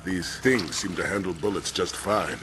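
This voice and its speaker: An actor playing a tough, cocky monster fighter in an animated sci-fi horror film. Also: male voice, low voice, normal speed, noisy background, close to an everyday voice. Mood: cocky, grim.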